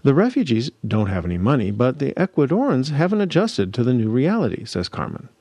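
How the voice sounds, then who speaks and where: A male radio reporter narrating a scripted news feature in a measured, lively delivery. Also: medium pitch, no echo.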